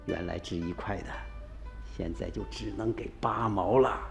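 A wary, shrewd relic dealer, played by a man in a radio play, bargaining in a lowish voice. He sounds cautious and wheedling, with faint music underneath. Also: moderate pace, vocal fry. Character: wary, shrewd male antiques dealer, middle-aged. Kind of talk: radio drama acting.

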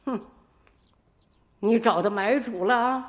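A woman's voice: a little high, takes it slowly, highs cut off. An actress, scolding her son sharply. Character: stern village mother, sharp-tongued and scolding. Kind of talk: radio drama acting.

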